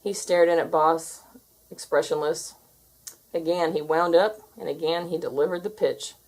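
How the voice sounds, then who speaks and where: A woman reading a children's story aloud as an expressive narrator. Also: deep voice, normal speed. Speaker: storybook reader.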